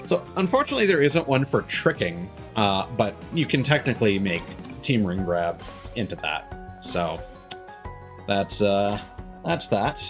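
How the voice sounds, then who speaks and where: A man with a fairly low voice talks casually as a live streamer commentating a video game. He rambles, breaking off and restarting his sentences as he explains. Light game music plays behind him. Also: expressive, unhurried. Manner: rambling, self-interrupting, frequent stops and restarts.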